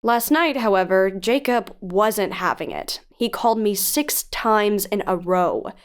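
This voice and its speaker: An actress playing a composed, frank investigative podcast host, narrating in a measured, earnest way in an audio drama. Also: female voice, mid-range voice, animated, clear, resonant tone, close to an everyday voice. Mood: wry, frank.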